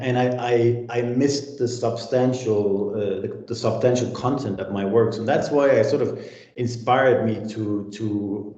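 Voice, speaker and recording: A man's voice: lowish voice, warm tone, echoey room, lo-fi sound. A man in his forties from Denmark, a senior lecturer answering interview questions in a reflective way with many restarts.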